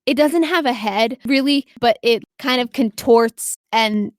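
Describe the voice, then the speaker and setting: A woman with a fairly high voice runs a tabletop role-playing game as game master. She narrates off the cuff in a casual way and encourages her players. The recording is close and dry. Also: measured pace, dry recording. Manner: encouraging, affirming players with short praise.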